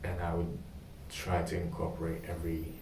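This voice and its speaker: Hesitant young man with a very low, flat voice. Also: creaky, reverberant, distant mic, background noise. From Indonesia. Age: young adult. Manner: hesitant, many pauses and ums. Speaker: job candidate in a practice interview. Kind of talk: mock job interview answers.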